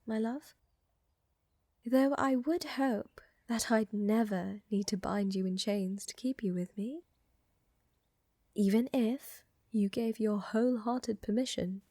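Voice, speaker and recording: A woman acting an elegant, flirtatious and tender vampire countess in a roleplay ASMR, with soft, breathy, genteel delivery that often drops to a whisper. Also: fairly high pitch, slow pace, no echo. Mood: flirtatious, affectionate.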